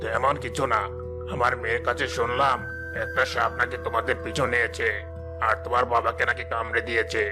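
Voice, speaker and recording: A man's voice: medium pitch, natural voice. A man voicing an evil, scheming sorcerer who masters a snake, theatrical and menacing, in a cartoon story. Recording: music bed under the dialogue.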